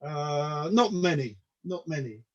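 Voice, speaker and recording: A man in his sixties chatting in an interview, halting and repetitive, with many fillers and restarts. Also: a little high, lively intonation, dull audio. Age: 60s. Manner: hesitant, repeats words, many fillers. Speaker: comedian guest. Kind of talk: casual interview chat.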